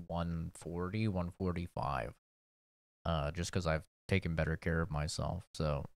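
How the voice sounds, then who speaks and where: A young man in his twenties talks in a low, smooth voice, easygoing and chatty, teasing himself as he goes. The sound is close and dry, with no room behind it. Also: dry recording. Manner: laid-back, chatty, self-mocking. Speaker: YouTuber reacting to his old video. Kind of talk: casual reaction commentary.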